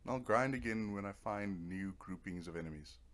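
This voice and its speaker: A man's voice: on the low side, normal speed, a bit bright. A man giving casual, rambling let's play commentary, thinking aloud as he goes. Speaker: gamer narrating own playthrough.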